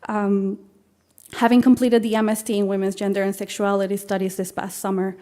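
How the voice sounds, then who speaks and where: Mexican woman giving a measured speech. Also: medium pitch, level tone, warm tone. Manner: measured, with frequent um and uh fillers. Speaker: scholarship recipient giving a speech. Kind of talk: formal prepared speech.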